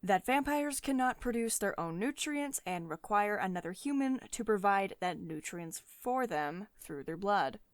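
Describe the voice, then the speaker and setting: A woman's voice: medium pitch, expressive, a little slow, few pauses, no room sound, lightly acted. Woman doing a bossy lady ceo for asmr roleplay, strict n sardonic, really swoopy voice, dry close sound. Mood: firm, instructive. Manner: domineering, sardonic, clipped reprimands with sugary pet names. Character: stern, commanding female tech CEO, condescending yet caring.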